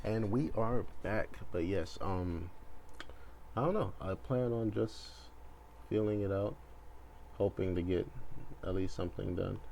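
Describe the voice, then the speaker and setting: A young man with a deep, low voice talks off the cuff in a laid-back, meandering way, like a creator chatting while he works. He hesitates and thinks out loud. It is a home recording with faint noise behind him. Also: unhurried, gravelly, long pauses. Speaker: video creator and host. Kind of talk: casual rambling chat while drawing. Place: bedroom at home, indoors.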